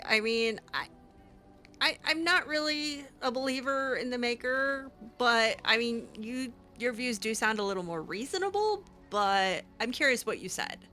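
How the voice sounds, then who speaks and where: A woman improvising casual in-character game roleplay, speaking in a halting, awkward but expressive way. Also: a little high, faint music behind. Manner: halting, awkward, expressive, breaks into laughs and sighs. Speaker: roleplay gamer, series host.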